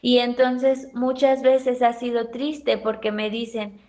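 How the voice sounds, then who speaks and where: A Mexican woman teaching a university class in a slow, measured way, often stopping to put questions to the students. Her voice sits a little high, and her pace stays unhurried. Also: little pitch movement, a little mellow. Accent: Mexican Spanish. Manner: questioning the class, thoughtful pauses. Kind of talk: university pedagogy lecture.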